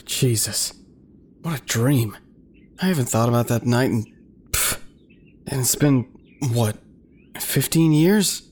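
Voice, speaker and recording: A man's voice: medium pitch, slow pace, long pauses, darkish tone, breathy edge. An actor in an audio drama playing a weary man of about thirty, musing to himself. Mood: groggy, reflective.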